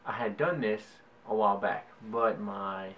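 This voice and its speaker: A young Cajun man from South Louisiana talks slowly in a lowish voice, wandering off into asides full of "like" and "um". He reads a word list aloud for a home vlog. A faint hum of room noise sits behind him. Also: highs cut off. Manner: rambling, hedging with "like" and "um". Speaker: vlogger. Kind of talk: casual solo vlog, reading a word list.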